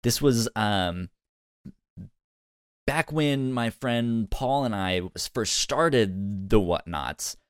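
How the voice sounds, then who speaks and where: A man's voice: lowish voice, animated, extremely slow, clear, resonant tone, no echo, studio quality. A man co-hosting a casual review podcast, often stammering and repeating words as he goes. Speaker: podcast co-host.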